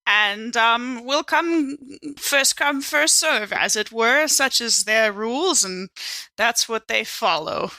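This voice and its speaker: A woman's voice: on the high side, slow pace, pushed and bright, heavily acted. A woman voicing a space station resident with an arch, wry, mock-proper and teasing way of talking.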